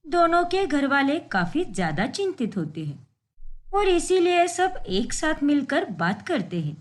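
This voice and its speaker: A woman's voice: high voice, big pitch swings, a little slow. A woman narrating a scripted, dramatic story as its storyteller.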